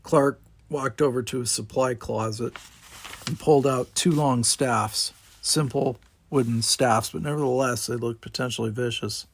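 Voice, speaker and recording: A man's voice: mid-range voice, steady tone, moderate pace, creaky. A man reading a story aloud as scripted audiobook narration. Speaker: audiobook reader.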